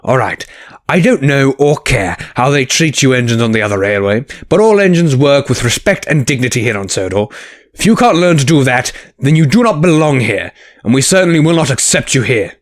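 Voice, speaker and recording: A man voicing a patient senior steam engine in an audio story, measured, reasonable and firm. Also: mid-range voice, lively intonation, close to an everyday voice.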